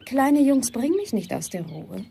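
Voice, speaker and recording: A scornful, teasing young woman in a radio drama. Her voice is husky and a little high, and she answers in curt, sarcastic jabs. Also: big pitch swings, moderate pace, mellow, exaggerated voice. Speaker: actor in a radio play. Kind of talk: naturalistic radio drama dialogue.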